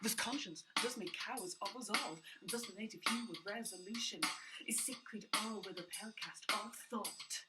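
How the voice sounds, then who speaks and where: A woman's voice: medium pitch, moderate pace, close to an everyday voice, poor audio. A woman voicing a brash young male prince who raps a famous speech in a hyped, rhythmic delivery over a beat. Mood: hyped rapping. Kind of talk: comic rap performance. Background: loud music beat.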